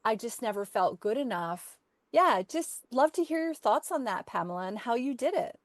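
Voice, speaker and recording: A woman's voice: a little high, lively intonation, moderate pace, no room sound. An enthusiastic woman hosting a spiritual podcast, affirming her guest and asking long, rambling questions. Her voice is recorded dry, with no room sound. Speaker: podcast host. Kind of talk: conversational interview.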